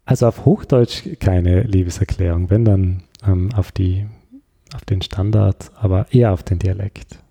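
A man's voice: low-pitched, steady tone, unhurried, vocal fry, mellow, breathy edge. A man with an Austrian accent chatting casually as an interview guest, thinking aloud and often searching for his words. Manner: hesitant, reflective, searching for words.

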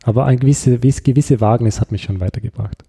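Gravelly, dark-voiced Austrian man. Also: mid-range voice, brisk. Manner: hesitant, reflective, searching for words. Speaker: podcast interview guest. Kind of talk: casual conversational interview.

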